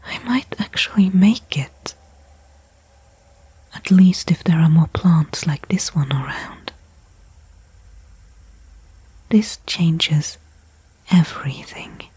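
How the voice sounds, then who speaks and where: A woman playing a weary stranded astronaut in a whispered ASMR sci-fi roleplay, breathy and hushed, thinking aloud with pauses between thoughts. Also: on the low side, lively intonation, no room sound, exaggerated voice. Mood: relieved. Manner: slow, soliloquizing, pausing between thoughts. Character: weary stranded female astronaut, anxious, faintly hopeful.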